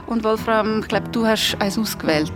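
A woman's voice: on the high side, moderate pace, music in the background. A woman chatting casually as a podcast co-host.

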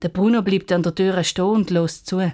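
A woman's voice: lowish voice, steady tone, brisk, dark tone. A woman narrator reading a scripted children's story. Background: music.

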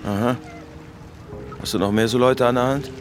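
An actor playing a suspicious gang boss in a radio crime drama, gruff, curt and commanding. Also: male voice, medium pitch, fairly even intonation, normal speed, music in the background, natural voice. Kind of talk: naturalistic German radio crime drama acting.

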